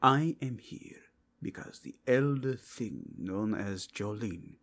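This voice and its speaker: A man playing a solemn, imperious werewolf cardinal with a breathy, whispery voice and formal, deliberate speech in a fantasy ASMR roleplay. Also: fairly low pitch, expressive, fairly bright tone, slight background noise, heavily acted. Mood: stern, deliberate. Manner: formal, slow, deliberate rhetorical questioning.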